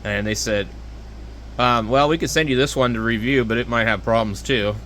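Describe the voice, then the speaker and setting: A man giving casual, rambling, unscripted product talk as a video host, with a hesitant, halting delivery. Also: medium pitch, fairly bright tone, a little hiss or hum. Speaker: hobbyist video host reviewing handheld radios.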